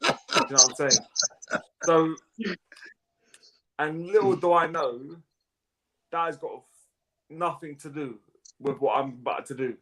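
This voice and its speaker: A man co-hosting a casual chat, telling an animated, slangy story. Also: a little high, takes it slowly, pauses often, poor audio. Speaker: co-host.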